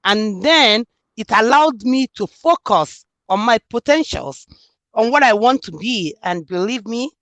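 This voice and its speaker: A woman's voice: on the high side, animated, a little slow, a bit bright, dry recording. A woman from Nigeria with a Nigerian accent, speaking earnestly and reflectively in an interview about her book. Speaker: author and student. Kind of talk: interview conversation.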